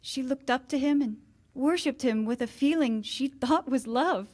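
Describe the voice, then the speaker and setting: An actress playing an earnest, pained young former lover, hesitant and pleading, in 1940s radio drama style. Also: female voice, sits high, moderate pace, a little mellow. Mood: earnest, wistful.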